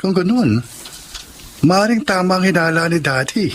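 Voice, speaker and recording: A man's voice: high voice, expressive, normal speed, fairly bright tone, a little hiss or hum. An actor playing a concerned young man in a Filipino radio drama, speaking in an earnest, conversational way. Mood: hesitant, confiding. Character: concerned young man confiding in a woman friend. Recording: faint music bed behind the voice.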